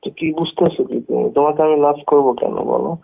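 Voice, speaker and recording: A man's voice: on the high side, fairly even intonation, quick pace, vocal fry, breathy edge. A man arguing on a phone call, defensive and dismissive, often retorting with questions. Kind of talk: argumentative phone conversation. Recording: tinny narrowband phone call recording.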